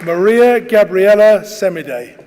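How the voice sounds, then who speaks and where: A man reads out names formally as a ceremony announcer. His delivery is very slow and carefully enunciated, with an animated rise and fall. It rings through a big echoing hall over a noisy crowd. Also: sits high. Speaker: announcer reading out graduates' names. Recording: reverberant hall, loud crowd noise behind. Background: loud crowd noise.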